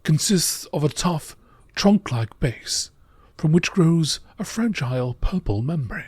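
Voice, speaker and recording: A man reading scripted text as a narrator in nature documentary style, with a measured, matter-of-fact, formal delivery. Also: fairly high pitch, expressive, slightly rough, dry recording. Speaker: narrator reading out game lore.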